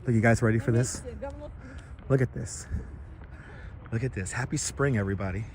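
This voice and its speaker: A man with a raspy, slightly squeaky, hoarse voice, chatting casually and repeating himself as he hosts a walking livestream. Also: moderate pace. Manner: rambling, repeats phrases, greets viewers by turns. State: hoarse from overuse. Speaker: livestream host. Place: outdoors in a city park, walking. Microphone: wireless clip-on lavalier mic. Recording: clear outdoor ambient and traffic noise.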